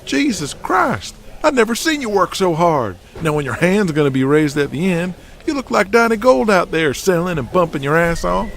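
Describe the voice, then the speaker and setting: A man's voice: sits high, very animated, normal speed, gravelly, hardly any pauses, pitched above the performer's own voice, exaggerated voice. A man voicing a blunt, sarcastic wrestling backstage boss who scolds in an exasperated way, in an audio drama. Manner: sarcastic, scolding, foul-mouthed.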